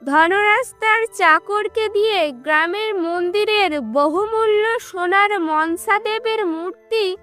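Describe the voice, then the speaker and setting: A woman narrating a cartoon story in a measured, dramatic scripted way. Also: extremely high pitch, bright, forceful tone, faint music behind.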